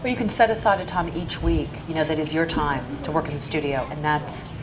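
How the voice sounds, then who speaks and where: A woman with a low voice moderates a panel and offers practical advice in a measured way. Steady room noise sits under her voice. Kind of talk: panel discussion. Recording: noisy room recording.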